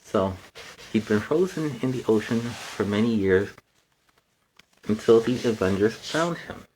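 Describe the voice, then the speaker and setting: A man's voice: fairly low pitch, lively intonation, unhurried, darkish tone, a little hiss or hum, low-quality recording. A man in a superhero persona reading a children's storybook aloud, with chatty, playful asides between the passages.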